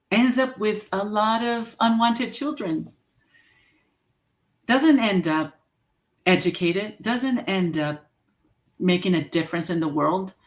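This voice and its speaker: Slow, emphatic woman motivational speaker. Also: on the low side. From Mexican heritage, raised in the US. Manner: slow, emphatic and repetitive, with laughs at herself. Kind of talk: inspirational speech.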